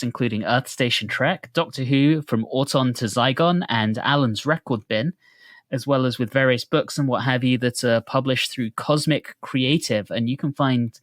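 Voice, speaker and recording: A man's voice: mid-range voice, a bit bright, dry recording. Male podcast host, dry close sound. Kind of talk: casual podcast chat.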